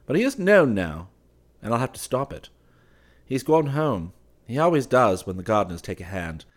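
A man's voice: medium pitch, big pitch swings, moderate pace, voice pushed up, lightly acted. A man voicing an easygoing young male art student, breezy and nonchalant.

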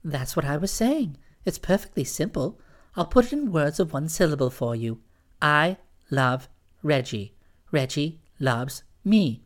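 A woman reading a storybook and voicing a fun-loving, scheming young woman in a brisk, teasing, matter-of-fact way, with a voice lower than her narration. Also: lively intonation. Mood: breezy.

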